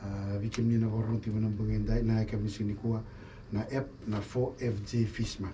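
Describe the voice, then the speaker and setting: A man's voice: fairly low pitch, flat delivery, moderate pace, warm tone. A man co-hosting a radio talk show, steadily explaining how to take part. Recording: distant mic with faint noise.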